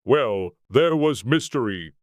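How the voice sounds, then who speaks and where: A woman voicing a melancholy, touchy old turtle creature, slow and mournful, in a dramatized audiobook. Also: rumbling low, lively intonation, a little mellow, dry recording, studio quality, full character voice.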